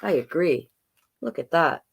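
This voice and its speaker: A woman hosting a storytime, reading a picture book aloud with chatty, gently encouraging asides to listeners. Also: deep voice, lively intonation, unhurried, gravelly, slightly rough. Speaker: children's storytime reader and host.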